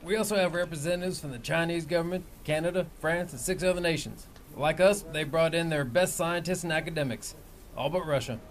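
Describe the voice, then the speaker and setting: An actor playing a brisk, businesslike male army major. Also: a little high, slight background noise, natural voice. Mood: firm. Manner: curt, businesslike. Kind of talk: audio drama acting.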